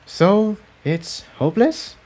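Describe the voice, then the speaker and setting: A man voicing a gentle young female pony in a fantasy story, anxious but supportive, with soft, earnest delivery. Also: high voice, big pitch swings, slow pace, pitched above the performer's own voice, heavily acted. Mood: worried questioning.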